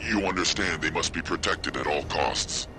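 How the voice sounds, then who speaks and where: A man playing a secretive, grave informant who speaks in a measured, formal way in a video game. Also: deep voice, lightly acted. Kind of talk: video game cutscene acting. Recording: music behind the voice.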